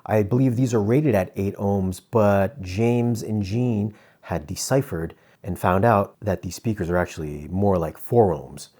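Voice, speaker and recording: Man, lowish pitch, casual video reviewer, conversational, explanatory, informal product walkthrough. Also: a little slow, hardly any pauses, warm tone. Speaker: audio gear reviewer.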